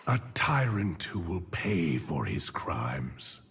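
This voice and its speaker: An actor voicing a grim, weary, ancient necromancer gravedigger in a video game, raspy, slow and solemn. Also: male voice, deep voice, sing-song, in a large hall, very noisy, exaggerated voice. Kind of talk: video game character voice lines.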